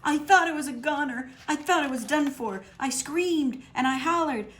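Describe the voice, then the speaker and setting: A woman reading a picture book aloud to children at storytime, expressive, with dramatic pauses. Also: high voice.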